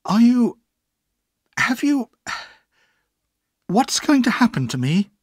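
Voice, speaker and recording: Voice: mid-range voice, wildly swooping pitch, slow, warm tone, husky, dry recording, clean studio sound, voice pushed up, exaggerated voice. A narrator voicing a timid young boy in a storybook reading, hesitant and earnest in manner. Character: timid, anxious, pleading orphaned young boy.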